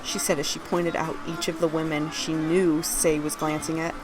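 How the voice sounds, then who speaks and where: Lower-pitched woman reading fiction, music behind. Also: level tone, normal speed, low-quality recording. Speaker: author reading own fantasy story aloud. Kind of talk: scripted story narration with character dialogue.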